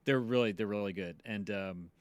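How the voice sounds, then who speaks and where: Man, middle-aged, lowish voice, dry recording, hesitant casual stream host. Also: normal speed, dry recording. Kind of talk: casual chat.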